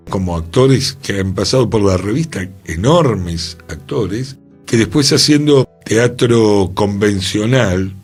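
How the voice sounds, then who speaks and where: A man with a Rioplatense accent co-hosting a cultural radio discussion, speaking deliberately and repeating words for emphasis. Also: fairly low pitch, slightly rough. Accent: Rioplatense Spanish. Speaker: radio show co-host.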